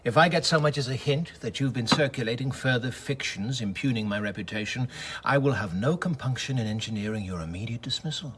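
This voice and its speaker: A man's voice: medium pitch, fairly quick, fairly bright tone, a little hiss or hum, close to an everyday voice. A man playing a pompous, threatening middle-aged chief doctor in a radio drama, formal and menacing.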